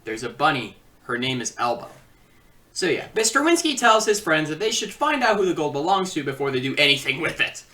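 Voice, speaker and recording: Animated woman reviewer, distant mic. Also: low-pitched, fairly quick. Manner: sarcastic, rambling asides. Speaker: video reviewer. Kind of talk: casual comedic review commentary.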